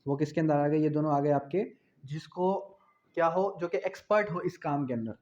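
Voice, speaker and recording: Male law teacher, Pakistani accent, slightly high pitch, didactic and repetitive with English terms mixed in; dull recording. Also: moderate pace, a little mellow. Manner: didactic, repeats phrases and asks himself questions. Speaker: law lecturer. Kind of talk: explanatory law lecture mixing in English terms. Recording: dull, lo-fi sound.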